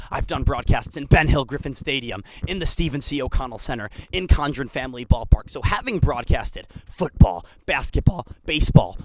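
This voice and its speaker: A man's voice: medium pitch, background noise. A young man in his twenties, a student sportscaster introducing himself with a polished broadcaster delivery. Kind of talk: self-introduction narration.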